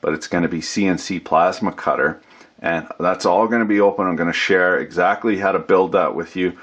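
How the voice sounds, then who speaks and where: A middle-aged man with a low, deep voice gives an unscripted update as host of a workshop channel. His talk is casual and folksy, peppered with swearing and joking slang. The recording is slightly dull and lo-fi. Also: fairly even intonation, brisk, fairly bright tone.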